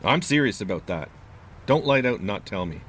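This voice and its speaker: An actor playing a gruff, wary older landlord of a haunted house, curt and folksy, in an audio drama. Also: male voice, lowish voice, lively intonation, fairly quick, a bit bright. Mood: stern, businesslike. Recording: clear background noise.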